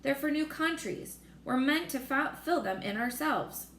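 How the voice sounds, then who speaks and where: A woman's voice: sits high, lively intonation, unhurried, a bit bright, voice pushed up, heavily acted. Woman playing a young pilot, upbeat, unbothered, storybook character, roomy echo. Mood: cheerful. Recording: roomy echo with faint noise.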